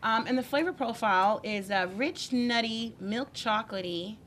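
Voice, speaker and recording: Female cigar panelist, higher pitch, throat irritated, playful presenter over noticeable background noise. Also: moderate pace, fairly bright tone. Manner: chatty, playful, laughs often. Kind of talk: casual panel chat.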